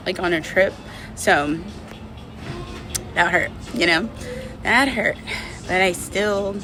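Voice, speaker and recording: A woman vlogger with a medium-pitched voice speaks over clearly audible music. She shares personal things in a candid, casual way, rambling along with frequent filler phrases like "you know". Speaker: vlogger telling a personal breakup story. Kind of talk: casual confessional talk to camera.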